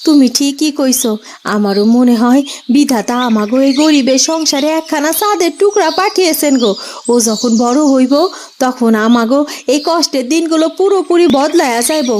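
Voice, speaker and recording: A woman's voice: high-pitched, normal speed, noisy background, close to an everyday voice. A woman voicing a poor woodcutter's caring wife in a cartoon, homely and affectionate, with a rural eastern Bengali dialect. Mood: hopeful. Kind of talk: cartoon voice acting.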